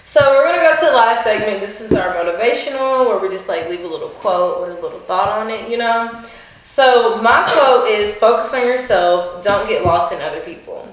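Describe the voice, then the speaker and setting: A woman's voice: medium pitch, lively intonation, fairly quick, slight background noise. A woman hosting a podcast in casual chat, frank and teasing, often breaking into laughs. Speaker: podcast host. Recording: echoey room.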